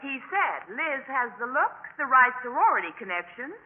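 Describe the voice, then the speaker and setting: An actress playing an excitable, gossipy young sorority woman, gushing in a 1950s radio drama. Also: high voice, normal speed. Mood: excited. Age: young adult. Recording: tinny, muffled 1950s radio recording.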